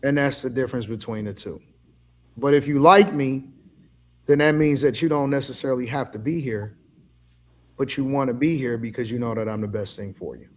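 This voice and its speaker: A man hosting a casual group talk, explaining his view step by step. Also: medium pitch. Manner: measured, explains point by point. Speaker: podcast host.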